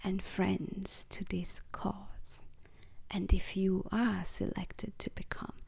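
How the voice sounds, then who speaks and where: A woman plays an alien instructor briefing space recruits in an ASMR roleplay. The delivery is a breathy, husky whisper with some crackle in it. Every phrase comes slowly and deliberately, solemn and strict like a lesson. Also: mid-range voice, flat delivery, darkish tone, full character voice. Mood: solemn, instructive.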